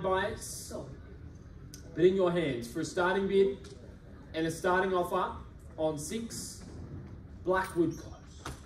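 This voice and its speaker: Young guy auctioneer pushing buyers for bids, higher voice, echoey room, mic kinda far off. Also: slight background noise. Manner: brisk, persuasive, repetitive bid calling. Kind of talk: live property auction calling. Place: function room with audience. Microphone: far camera.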